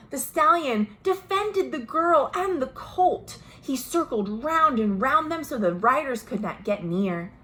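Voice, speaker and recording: Slow, higher-voiced woman reading to children. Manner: expressive storytelling with asides and questions to young listeners. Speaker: children's librarian hosting virtual storytime. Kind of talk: read-aloud picture book narration for children. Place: at home. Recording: noisy home recording.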